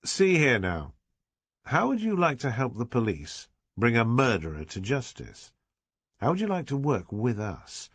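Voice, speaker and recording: A man's voice: mid-range voice, huge pitch swings, a little slow, a bit bright, breathy edge, no echo, exaggerated voice. A man voicing a patient police detective, coaxing a child with friendly persuasion. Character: affable Scotland Yard detective, coaxing and patient.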